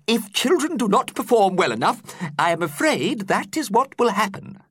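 A man voicing a sweet, fretful old male schoolteacher in a high, squeaky voice for a storybook reading. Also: very animated, moderate pace, slight background noise. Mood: worried. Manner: fretful, hesitant.

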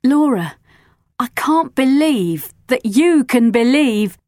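A woman's voice: sits high, lively intonation, slow, a little mellow, dry recording, studio quality, voice pushed up. A woman voicing a sarcastic, firm adult woman who is a family friend. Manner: sarcastic, stern.

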